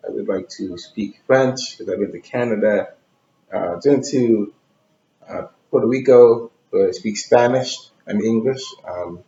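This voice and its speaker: A man as a guest in a casual chat, answering in a slow, thoughtful way with pauses. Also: on the low side, dark tone. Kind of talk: casual conversation. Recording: roomy, dull lo-fi sound.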